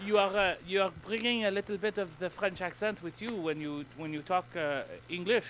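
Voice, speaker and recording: A man hosting a gaming podcast in casual chat, excitable and playful, laughing often. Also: sits high, a little fast, noisy background. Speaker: podcast host.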